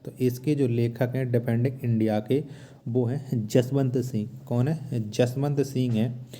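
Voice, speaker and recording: A man's voice: mid-range voice, steady tone, measured pace, warm tone. A man teaching a lesson, reading out quiz questions, asking them again and restating each answer. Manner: repetitive, asks then restates answers. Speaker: exam-prep teacher.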